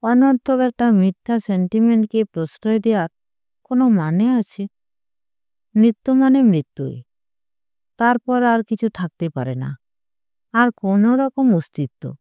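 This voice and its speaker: A woman's voice: fairly high pitch, expressive, unhurried, pauses often, warm tone, clear, resonant tone, no room sound. A woman storyteller voicing a stern, indignant young mother, lecturing emphatically in an audio story.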